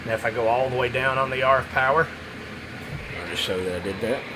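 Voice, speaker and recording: A man's voice: mid-range voice, fast talker. Man rambling casually, noisy recording. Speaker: radio seller demonstrating a CB radio. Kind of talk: casual hands-on product demo.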